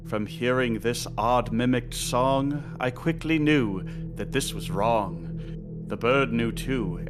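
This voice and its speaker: A man reciting a rhyming horror poem in a measured, rhythmic storytelling manner. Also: medium pitch. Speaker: poet reading own work. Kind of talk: dramatic spoken-word horror poem recitation. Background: music track.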